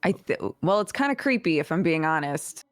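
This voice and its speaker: A woman voicing her blunt, curious adventurer character in her own low voice. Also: big pitch swings, brisk, dry recording, studio quality, faint music behind.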